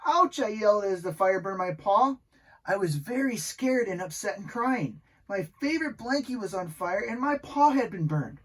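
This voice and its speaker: A man's voice: sits high, animated, normal speed, poor audio. A man voicing an earnest, childlike young dog in a children's storybook, speaking simply to kids. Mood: upset. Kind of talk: children's storybook read aloud.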